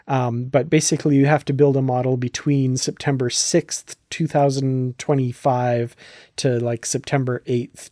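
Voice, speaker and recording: A man's voice: medium pitch, darkish tone, no echo, clean studio sound. A man hosting a podcast in a casual, chatty, enthusiastic way. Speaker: podcast host.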